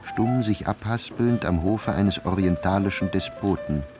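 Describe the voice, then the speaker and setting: A man narrating a literary radio drama in measured, formal storytelling. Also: low-pitched, over music.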